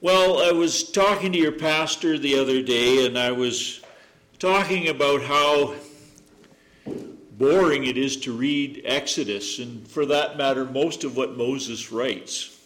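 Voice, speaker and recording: A man preaching a sermon to a congregation, reading scripture, praying, and joking in asides with a loud voice. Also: mid-range voice. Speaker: guest preacher. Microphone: microphone through speakers. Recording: echoey room.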